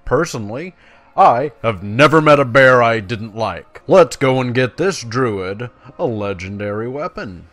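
A man's voice: mid-range voice, expressive, a little slow, a bit bright. Laid-back man hosting a game video. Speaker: gaming video host. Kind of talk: casual game commentary, reading quest text aloud.

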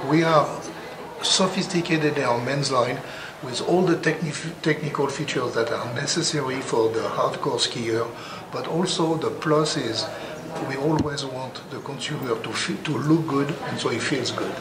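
A man's voice: mid-range voice, normal speed. An older man speaking earnestly in a trade show interview, restarting and searching for words now and then. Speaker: brand representative. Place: large carpeted hotel hall at a trade show. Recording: echoey hall with loud crowd chatter. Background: loud crowd chatter.

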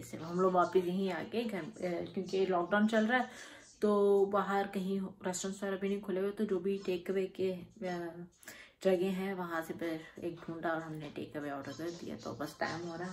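A woman's voice: on the low side, darkish tone. A young woman vlogging on a road trip and in a hostel room, chatty and playfully bossy, switching between Hindi and English, filmed on a phone at arm's length. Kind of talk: casual family travel vlog. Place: inside a car, then a small hostel room. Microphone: phone held at arm's length.

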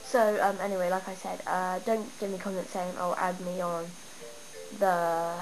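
A woman's voice: lowish voice, normal speed, soft background music. Chatty girl with a stuffy cold. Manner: chatty, rambling, excitable. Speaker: gamer showing her town. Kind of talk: casual game walkthrough. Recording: noisy home camera recording. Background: steady background noise.

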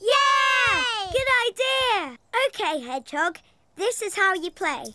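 Tiny, very high-pitched cartoon animal. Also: big pitch swings, slow, exaggerated voice. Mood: eager, playful. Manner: childlike, simple, eager. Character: playful, excitable little farm animal friend, childlike. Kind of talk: preschool cartoon voice acting. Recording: loud children's music behind the voice.

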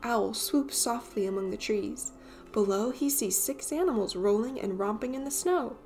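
A woman reading a children's picture book aloud as an expressive storyteller. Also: fairly high pitch, normal speed, faint music behind.

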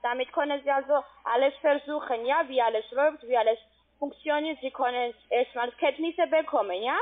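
A high-pitched woman works through a phone sales pitch. She is a non-native German speaker with a foreign accent and keeps adding little checking questions. The sound is narrow and muffled, like a telephone call. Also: fairly quick. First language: not German. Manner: frequent checking tag questions. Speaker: call centre sales agent.

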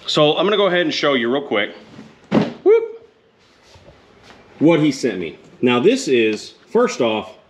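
A man's voice: mid-range voice, a bit bright. A man in his thirties chatting casually in his home garage, joking and poking fun at himself while he works on a motorcycle. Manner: joking, self-deprecating, chatty. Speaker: motorcycle vlogger. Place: home garage with open door. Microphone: camera close up, sometimes set aside.

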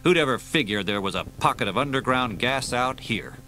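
A man's voice: mid-range voice, expressive, a little fast, sharp tone. A man doing a wry, unflappable veteran agent. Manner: wry and easygoing.

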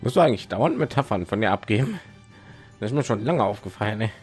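A man's voice: lowish voice, expressive, fast talker, a little mellow, soft background music. A man giving casual let's play commentary, thinking aloud and rambling, with phlegm in his throat.

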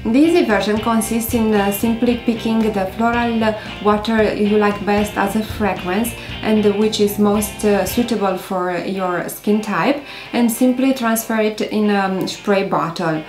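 A woman in her thirties from Romania, speaking English with a Romanian accent, gently hosting a beauty tutorial to camera with frequent hesitations. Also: medium pitch, steady tone, measured pace, roomy sound, music in the background. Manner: gentle, careful delivery with many hesitations. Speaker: beauty vlogger. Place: indoors by a stone wall.

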